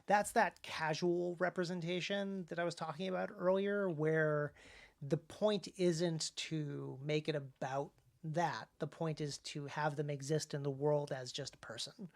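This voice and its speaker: An American man hosting a casual discussion as an author, thinking aloud in a halting way with emphatic stresses. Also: a little high, steady tone, gravelly. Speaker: podcast host and author.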